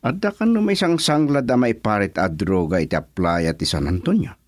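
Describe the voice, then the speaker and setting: A man playing a serious comrade in a radio drama, reporting gravely and urgently in a rough, husky voice. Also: lowish voice, animated, normal speed, no room sound, studio quality, lightly acted. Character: serious male comrade reporting dangerous secret news.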